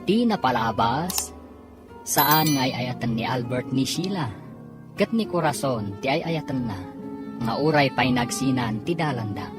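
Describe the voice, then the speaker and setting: A woman narrating and announcing a radio drama in a solemn, theatrical, scripted style. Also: extremely low pitch. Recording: radio drama with music bed.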